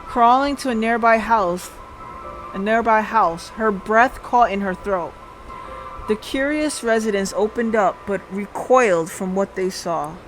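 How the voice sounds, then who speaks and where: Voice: mid-range voice, a little slow, fairly bright tone, soft background music, poor audio. A game streamer reading a visual novel aloud as dramatic narration, sometimes stumbling and rereading.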